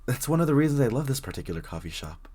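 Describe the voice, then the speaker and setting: A man playing a very shy, friendly young writer in an intimate coffee shop roleplay, speaking hesitantly with nervous stammers and a husky voice. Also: mid-range voice, very animated, rapid-fire, mellow. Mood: warming up, friendly. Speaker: audio roleplay voice actor. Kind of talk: intimate one-sided roleplay acting, casual chat.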